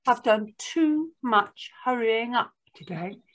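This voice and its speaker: A woman voicing a gentle, polite little girl in a storybook, weary of being hurried. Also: on the high side, animated, background noise, pitched above the performer's own voice. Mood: weary, pleading.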